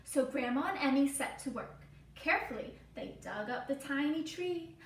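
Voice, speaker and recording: A woman teacher reading a children's storybook aloud with expressive, dramatic, deliberate phrasing. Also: sits high. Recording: roomy, distant mic, faint noise.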